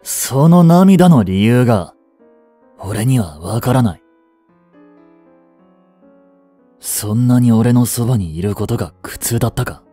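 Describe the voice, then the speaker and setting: A man's voice: medium pitch, lively intonation, moderate pace, warm tone, faint music behind, lightly acted. A man playing a cold, haughty young prince in a romantic audio drama, curt and imperious but secretly protective. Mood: stern, bitter.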